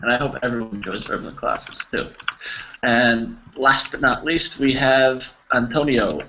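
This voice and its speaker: A man's voice: medium pitch, unhurried, pushed and bright. American man teaching over a noisy call. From United States. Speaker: English teacher of an online class. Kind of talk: casual group class instruction. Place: online video call. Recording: dull, noisy video call audio.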